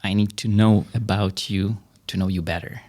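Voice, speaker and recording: Male podcast interviewer, lowish voice, conversational, halting phrasing with repeated words. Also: fairly even intonation, darkish tone.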